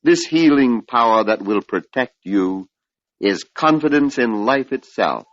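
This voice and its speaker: An older man giving a measured, oratorical spiritual lecture on an old radio broadcast, posing rhetorical questions. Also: mid-range voice, expressive, dry recording. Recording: dull lo-fi vintage radio recording.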